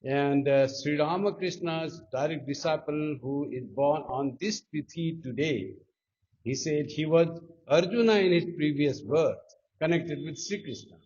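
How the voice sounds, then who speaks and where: Nepali-accented monk, fairly high voice, slow emphatic delivery, muffled lo-fi recording. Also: male voice, a little mellow, breathy edge. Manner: slow, emphatic, lifting pitch on key words. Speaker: monk giving a spiritual talk. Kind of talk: devotional talk with sung Sanskrit chant. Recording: dull lo-fi sound.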